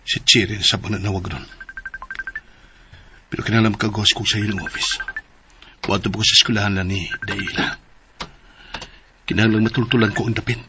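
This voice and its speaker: A man's voice: lowish voice, background noise, close to an everyday voice. A male actor playing a father searching for his wife in a Philippine radio drama, with a Cebuano Filipino accent. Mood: worried.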